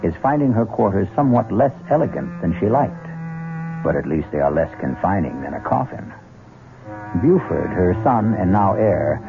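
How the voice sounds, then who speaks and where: A man hosting and narrating a radio drama in a measured, droll, theatrical manner. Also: deep voice, background noise. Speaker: host and narrator. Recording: muffled old radio, music behind.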